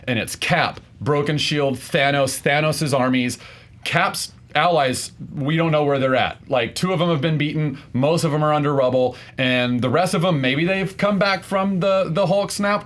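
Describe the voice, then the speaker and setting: A man arguing his case as a contestant in a film debate, unscripted and passionate, halting at times and building emphasis through repetition. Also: on the high side, fairly bright tone.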